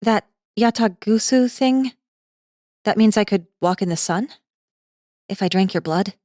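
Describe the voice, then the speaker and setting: A woman's voice: mid-range voice, a little slow, warm tone, dry recording, close to an everyday voice. A woman plays an awkward college girl in a fantasy audiobook. She talks in casual slang with a sarcastic edge and a hesitant streak, close and dry on the mic. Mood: nervous, wary. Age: young adult. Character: slangy, wary, awkward nineteen-year-old college girl. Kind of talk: dramatized fantasy audiobook acting.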